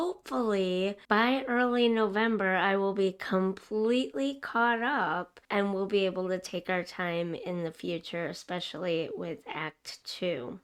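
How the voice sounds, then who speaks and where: A woman hosting a gaming video, narrating casually and chattily in a slightly rough, raw voice as she recovers from a cough. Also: mid-range voice. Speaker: host of a gaming video series. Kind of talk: casual gameplay narration voice-over.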